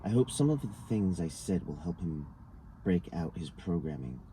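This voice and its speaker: Very bright, very deep-voiced man reading. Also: very animated, moderate pace, low-quality recording. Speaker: reader of a written transcript. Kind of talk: scripted reading of an interview dialogue. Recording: noticeable background noise.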